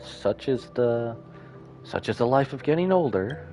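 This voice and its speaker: A man's voice: medium pitch, animated, measured pace, mellow, faint music behind. A man live-streaming a game, giving casual reaction commentary and musing aloud in short remarks.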